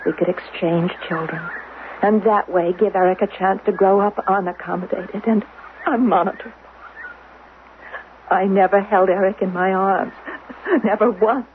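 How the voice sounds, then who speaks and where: A woman's voice: mid-range voice, steady tone, moderate pace, pauses often, natural voice. Old radio drama, phone-thin sound, faint hiss, actress playing fretful woman, anxious mother, tense, dramatic delivery. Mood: anguished. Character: anxious, despairing mother and secret conspirator. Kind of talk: 1970s radio drama acting. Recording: narrowband, faintly noisy 1970s radio recording.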